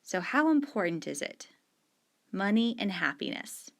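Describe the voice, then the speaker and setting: Slow, patient woman teacher. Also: mid-range voice, big pitch swings. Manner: slow, patient, stresses key words and repeats questions; annotating on screen with a pen while talking. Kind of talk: explaining discussion questions to students.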